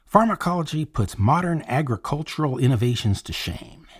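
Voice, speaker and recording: A cynical food critic and podcaster in an audio drama. His voice is husky and swings widely in pitch, with a sardonic, showy edge. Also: lively intonation, moderate pace. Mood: polished, enthusiastic.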